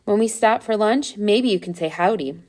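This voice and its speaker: A woman's voice: mid-range voice, normal speed, a little mellow, close to an everyday voice. A woman voicing a friendly, easygoing man who pilots balloons in a storybook, folksy and reassuring.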